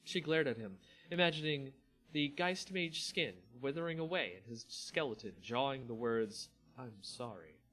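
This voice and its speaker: A man reading a fantasy story aloud as a theatrical, deliberate dramatic narrator. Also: mid-range voice, lively intonation, fairly bright tone, soft background music.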